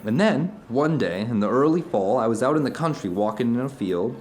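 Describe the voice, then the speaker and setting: Animated, searching man played by an actor. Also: medium pitch, fairly quick, natural voice. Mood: earnest wonder. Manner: rambling, searching, earnest, emphatic. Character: animated, introspective man telling uncanny personal anecdotes to an old friend. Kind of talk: audio drama dialogue, conversational monologue.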